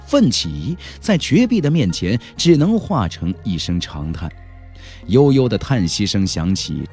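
A man's voice: lowish voice, darkish tone, breathy edge. A man narrating a fantasy novel in a theatrical storyteller's manner, with dramatic emphasis. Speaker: audiobook narrator. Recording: narration over faint background music.

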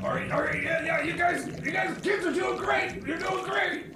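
Frazzled, rambling male mechanic for radio comedy, acted by a man, high-pitched and repetitive, over clearly noisy ambience. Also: normal speed. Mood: flustered, pleading. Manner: stammering, repetitive, flustered. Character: frazzled, rambling mechanic and time traveller Jeb. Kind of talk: comedy radio theater, semi-improvised.

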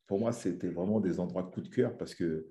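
A man's voice: low voice, a little fast, warm tone. A man in his thirties from French Guiana, a fitness influencer chatting as a guest on a video-call podcast, restarting his sentences often. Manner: rambling with many restarts and fillers. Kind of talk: casual video-call interview. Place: small room at home. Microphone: webcam on a video call.

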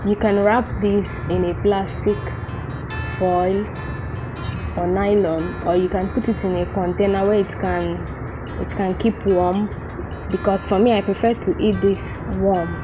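A woman's voice: mid-range voice, little pitch movement, unhurried, over music. A woman from Nigeria hosting her cooking channel, explaining steps and leading a casual food review at a home table. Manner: chatty, instructive, says "um" often. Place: home dining room, seated at a table. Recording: noisy home recording.

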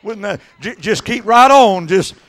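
A middle-aged man preaching an impassioned Pentecostal sermon into a handheld microphone, exhorting and swinging between conversational asides and shouts. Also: high-pitched, lively intonation, a little slow, some chatter behind. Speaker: evangelist preaching. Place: church sanctuary stage at a pulpit.

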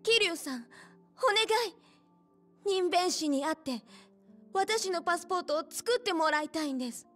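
High-voiced, polite young hostess. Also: female voice, lively intonation, normal speed, pauses often, fairly bright tone, faint music behind. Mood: pleading, serious. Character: polite young Chinese hostess, gentle and pleading. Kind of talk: Japanese video game cutscene acting.

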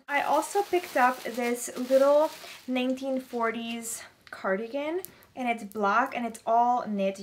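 A woman's voice: fairly high pitch, fairly bright tone. A young woman chatting casually to camera in a gushing, enthusiastic way, her voice slightly strained. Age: young adult. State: slightly strained voice, throat-clearing. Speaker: vlogger showing a vintage clothing haul. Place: small furnished bedroom, indoors.

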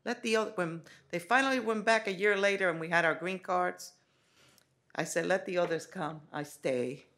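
Gravelly-voiced woman, halting speech. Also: fairly low pitch, fairly bright tone. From Dominican Republic. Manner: halting, with many fillers and restarts. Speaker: college president. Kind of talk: forum address. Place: large college meeting room.

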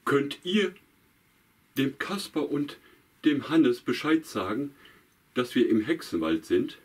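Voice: mid-range voice, sing-song, slow pace, slightly rough, full character voice. A puppeteer voicing the friendly, stammering companion of a dog in a puppet show. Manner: friendly, stammers, asks questions. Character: helpful, kindly friend of the dog.

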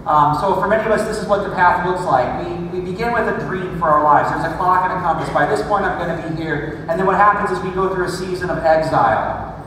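A man's voice: a little high, noisy background. A man in his thirties, a pastor preaching a sermon on a church stage, talking in a conversational way with dry self-deprecating jokes. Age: 30s. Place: large church stage with screen. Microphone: room mic far from stage. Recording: echoey hall recorded from far off.

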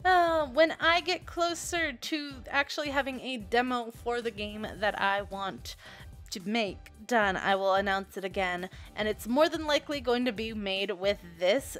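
A woman's voice: mid-range voice, fairly bright tone. A young woman rambling casually to viewers in an informal, self-deprecating, giggly manner, as a video creator. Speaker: video creator reviewing software. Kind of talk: casual rambling chat to viewers over a screen recording.

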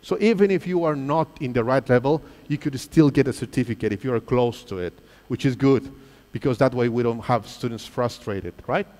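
A man's voice: mid-range voice, brisk. Man presenting on a reverberant stage. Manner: lively, engaging, asks the crowd questions. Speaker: presenter at a teachers' convention. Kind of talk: live quiz-style presentation to an audience. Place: large auditorium stage. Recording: reverberant hall sound.

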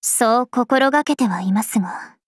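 A woman playing a regal, serene young planetary spirit princess in an anime game, speaking formally and with measured elegance. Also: a little high, breathy edge, dry recording. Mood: serene, reflective. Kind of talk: scripted anime game voice lines. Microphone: studio microphone. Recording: music behind a close dry studio voice.

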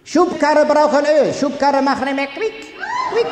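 A male comedian playing an earnest, insistent immigrant workman with a Turkish accent, speaking clipped broken German in drawn-out words. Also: extremely high pitch, voice pushed up. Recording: echoey live hall with laughing crowd.

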